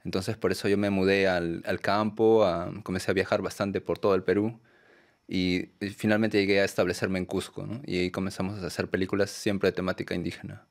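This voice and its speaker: A Peruvian man, a documentary filmmaker, answering interview questions in a soft, hesitant way with many fillers and tag questions. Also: low-pitched, flat delivery, fairly quick. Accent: Peruvian Spanish. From Peru.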